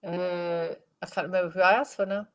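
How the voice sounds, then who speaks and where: A middle-aged woman medium giving a live-stream spirit reading. She sounds stuffed up and speaks in a gentle, rambling way, repeating phrases and addressing viewers by name. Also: fairly low pitch, steady tone, a little fast, clean tone. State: congested, stuffed-up nose.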